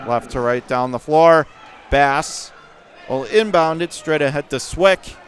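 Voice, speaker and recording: A man with a slightly high voice gives radio play-by-play of a high school basketball game from courtside. His calls come in short clipped bursts that climb in pitch and break into shouts on steals and drives. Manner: rapid clipped calls that rise sharply and get shouted on big plays.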